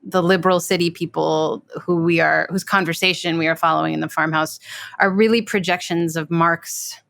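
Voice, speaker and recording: A woman with a lowish voice and plenty of vocal fry explains in a measured way. Her phrasing is deliberate and broken, and the sound is very dry and close. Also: fairly even intonation, a bit bright, no echo.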